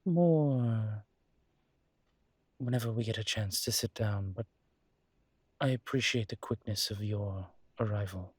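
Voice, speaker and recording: A man playing a suave, flirtatious demon professor in an intimate audio roleplay, speaking in a courtly, teasing way with formal wording and hesitant pauses. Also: on the low side, breathy edge, no room sound. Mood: gracious, welcoming.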